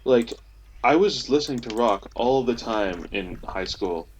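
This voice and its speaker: A man's voice: medium pitch, lively intonation, unhurried, slight background noise, rough recording. A young man chatting casually as a panelist in a group podcast discussion, on an amateur internet recording.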